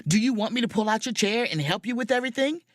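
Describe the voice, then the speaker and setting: A woman playing a confident, sharp-witted, proud and flirtatious sleuth in an audio drama, with an emphatic, theatrical delivery. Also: fairly high pitch, animated, quick pace, dry recording, studio quality, close to an everyday voice. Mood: indignant. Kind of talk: audio drama acting with first-person narration.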